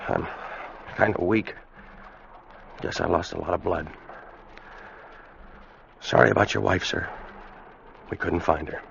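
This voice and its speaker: Serious ship's officer in old radio play, lowish voice, tense and grave, dull lo-fi sound. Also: male voice, fairly even intonation, a little slow, gravelly, pauses often, fairly bright tone, slight background noise, exaggerated voice. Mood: weary disbelief. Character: earnest, steady ship's officer recounting a haunted voyage. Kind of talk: dramatic first-person narration, 1940s-50s radio acting. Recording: muffled old radio recording, dramatic score.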